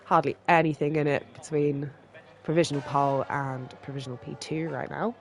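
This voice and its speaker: A live sim racing commentator with a stuffy cold, reacting quickly and excitedly to lap times. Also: mid-range voice. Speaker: race commentator.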